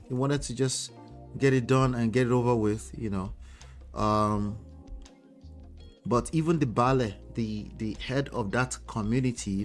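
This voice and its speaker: Man, Nigerian accent, relationship coach, casual commentary, emphatic, tag questions, mid-pitched voice, faint music behind. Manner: emphatic, with frequent tag questions like "okay?" and "you know".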